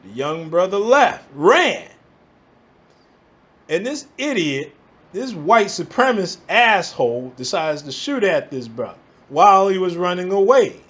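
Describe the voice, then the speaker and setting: Heated New man, slightly high voice. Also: big pitch swings, a little slow, fairly bright tone. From the Bronx, New York. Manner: heated, emphatic, repeats "all right?" to the listener. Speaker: vlogger. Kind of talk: angry commentary rant. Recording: dull lo-fi recording.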